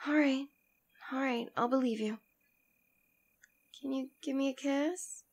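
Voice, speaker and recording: A woman voicing a demon queen in a scripted ASMR roleplay, speaking in a theatrical, intimate and deliberate manner. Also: high voice. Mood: tender, concerned. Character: demon queen, imperious and possessive, secretly tender lover.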